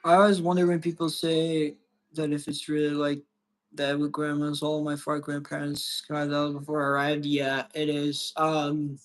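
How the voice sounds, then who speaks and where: A man's voice: fairly high pitch, completely flat delivery, moderate pace, rough recording. A young man from Brazil chatting casually as a podcast co-host, loose and profane, often restarting his sentences. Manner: loose, swearing, rambling with restarts. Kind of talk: casual chat.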